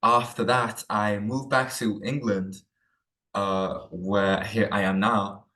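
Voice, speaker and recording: A teenage boy giving a student research presentation over a video call, hesitant with many pauses and fillers. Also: fairly low pitch, little pitch movement, pushed and bright, recorded from a distance. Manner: hesitant, many ums and uhs. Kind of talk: presentation talk.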